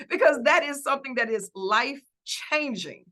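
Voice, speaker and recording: A woman hosting a talk show with a bright, forceful voice. She chats in a lively, animated way, laughs easily, and acts out imagined quotes. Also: high-pitched, measured pace. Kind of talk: lively interview chat.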